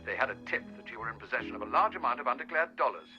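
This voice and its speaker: An actor playing a brusque British spy chief in a radio drama, gruff, clipped and authoritative. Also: male voice, medium pitch, animated, rapid-fire, sharp tone, muffled recording, faint music behind. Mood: brisk, stern.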